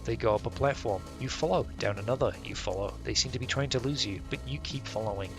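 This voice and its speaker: A man's voice: medium pitch, fast talker, gravelly, over music. A man live-streaming a game, reading its text aloud and commenting in a casual, slangy, sweary way.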